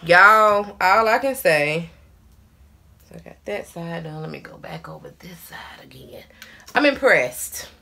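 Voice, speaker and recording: A woman's voice: lowish voice, very animated, unhurried, crowd noise. A woman in her thirties casually reviewing a hair tool while styling her hair in a small bathroom, chatting to viewers as y'all with playful asides. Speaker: hair vlogger. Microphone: camera in front, hands busy. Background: hair dryer running.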